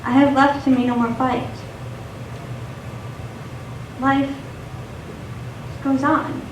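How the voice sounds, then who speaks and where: A woman's voice: high-pitched, flat delivery, measured pace. A woman playing a wistful, poetic young female figure reciting rhyming verse in stage radio theatre. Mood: wistful, questioning. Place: theater stage, recorded from a distance. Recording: echoey theater, distant mic, faint noise.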